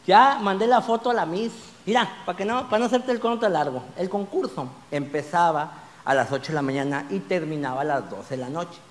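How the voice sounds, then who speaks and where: A man, doing an animated stand-up routine full of crude slang on a small club stage, holding a handheld mic. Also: high-pitched, a little fast, few pauses, in a large hall, slight background noise. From Mexico. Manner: animated, gesturing broadly, crude slang, rising to shouts on punchlines. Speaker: stand-up comedian.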